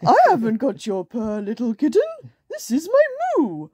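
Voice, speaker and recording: A woman voicing a helpful farm cow from a storybook, with loud mooing. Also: fairly high pitch, huge pitch swings, normal speed, husky, no echo, studio quality, voice pushed up, exaggerated voice.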